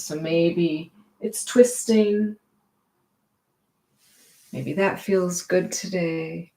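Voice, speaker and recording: A woman teaching gentle movement and meditation in a reassuring, permissive, unhurried manner. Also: on the low side.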